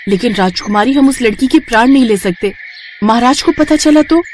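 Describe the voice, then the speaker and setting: A woman voicing a timid maidservant in a cartoon story, deferential and hesitant as she flatters her mistress. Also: a little high, a little fast, a little hiss or hum, natural voice. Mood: deferential, worried.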